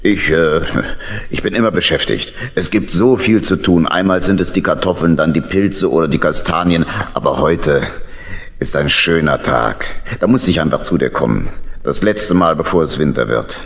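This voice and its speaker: An actor playing a wistful, homebody old badger uncle in a children's audio play, sighing and grumbling. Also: male voice, rumbling low, normal speed. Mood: wistful, resigned.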